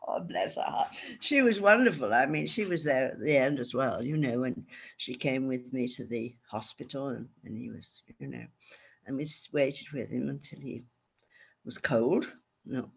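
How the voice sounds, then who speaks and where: A woman's voice: a bass voice, measured pace, vocal fry, breathy edge. An older woman chatting frankly as an interview guest over a video call. She laughs often. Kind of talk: casual interview chat.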